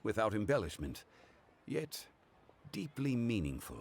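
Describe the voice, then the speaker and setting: An actor playing a composed, scholarly gentleman in a video game, speaking in formal, measured, wise phrases. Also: fairly low pitch, sing-song, very noisy. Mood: approving, composed.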